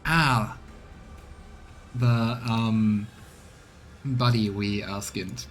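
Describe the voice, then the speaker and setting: A man's voice: fairly low pitch, fairly even intonation, very slow, faint music behind. A man doing a casual game stream, reading narration aloud and musing between lines. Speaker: let's play streamer. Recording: noticeable background noise.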